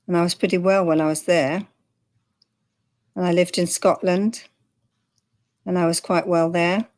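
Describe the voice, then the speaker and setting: Calm older Englishwoman, low voice. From England. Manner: calm, matter-of-fact, with light laughs. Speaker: guest. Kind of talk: candid personal interview.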